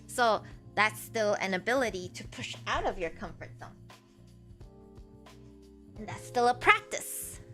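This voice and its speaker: A woman in her forties who grew up in Malaysia, a streamer answering chat in a halting way with many fillers. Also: a little high, sharp tone. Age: 40s. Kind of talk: live stream chat reading viewer comments.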